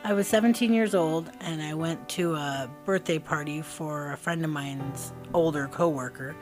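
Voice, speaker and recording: A woman in her late thirties speaks in a reflective, halting way about her past. Her voice is very low for a woman. Soft music sits faintly behind her. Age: 30s. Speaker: interviewee. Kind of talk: personal testimony.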